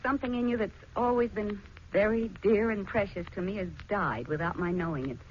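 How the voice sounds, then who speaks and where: An actress plays a sensitive, cultured wife who longs for tenderness. Her phrasing is earnest and articulate, in the 1940s radio drama manner, at a middle pitch. The recording is dull, with faint noise. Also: a little fast, lightly acted. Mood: hurt, earnest. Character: sensitive married aunt, cultured, yearning for tenderness.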